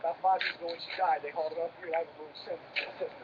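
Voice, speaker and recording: An actor playing a boastful, self-made older brother who swears a lot. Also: male voice, on the high side, fairly even intonation, natural voice. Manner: profane, boastful, rapid-fire. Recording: distant, dull, with background noise.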